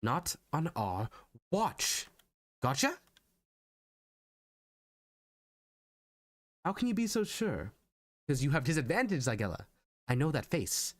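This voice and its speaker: A man's voice: on the high side, very animated, moderate pace, dry recording, clean studio sound. Man acting an anguished, manic sci-fi hero. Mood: exasperated, insistent. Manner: exasperated, intense, dramatic monologue delivery. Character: anguished, manic time-travelling hero pleading against war. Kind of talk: theatrical dramatic monologue. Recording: background music under the voice.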